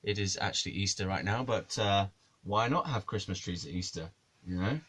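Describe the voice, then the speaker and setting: A man's voice: lowish voice, normal speed, a bit bright, slightly rough. Rambling jokey man. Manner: jokey, digressive, swears, laughs at his own jokes. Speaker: musician and video maker. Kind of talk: rambling comic monologue to camera.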